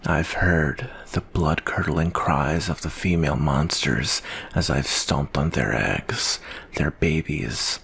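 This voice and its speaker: Male actor as a war-weary monster hunter, husky and breathy, extremely low, brooding, guilt-ridden, ASMR roleplay. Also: a bit bright, exaggerated voice. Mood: weary, warning. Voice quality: very deep, gravelly, husky. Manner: slow, brooding, weary delivery.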